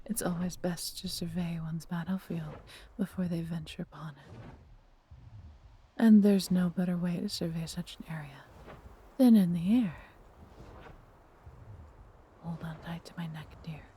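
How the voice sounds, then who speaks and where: A woman playing a proud, tender female dragon in a fantasy audio roleplay, with a breathy, theatrical, measured delivery. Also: fairly low pitch, dark tone. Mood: proud, teasing, then protective, watchful. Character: proud, doting female dragon, adventurous and protective.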